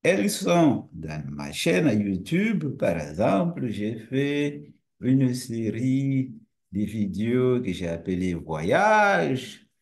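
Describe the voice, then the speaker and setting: A man whose first language is Portuguese speaks slowly and deliberately. He lectures like a university teacher, and his pitch rises and falls a lot. He poses rhetorical questions and often restarts a phrase. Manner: relaxed and friendly. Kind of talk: lecture to camera.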